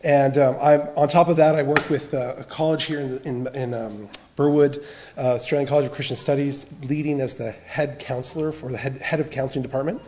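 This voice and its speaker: A man's voice: medium pitch, steady tone, a little fast, a little mellow, a little hiss or hum. Earnest middle-aged American man teaching. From United States. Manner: earnest, restarts phrases often. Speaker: biblical counseling trainer. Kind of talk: church teaching talk.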